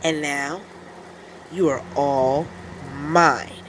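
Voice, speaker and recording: A woman's voice: low voice, steady tone, drawn-out words, noisy background, pitched below the performer's own voice. A woman voicing an ugly, menacing little boy creature in a storybook, savoring the words. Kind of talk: children's storybook read-aloud.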